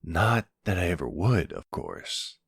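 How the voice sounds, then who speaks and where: Man playing a gravelly, very low-voiced mafia boss. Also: wildly swooping pitch, dry recording, studio quality, full character voice. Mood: teasing, playful.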